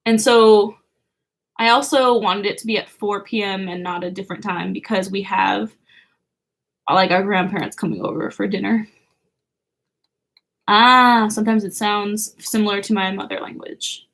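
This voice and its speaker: A woman's voice: medium pitch, a little slow, gravelly, long pauses, a bit bright. A young woman hosting a birthday livestream from home, chatting casually and giggling, with a lot of "like". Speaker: livestream host. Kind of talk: casual chat with viewers. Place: home, indoors.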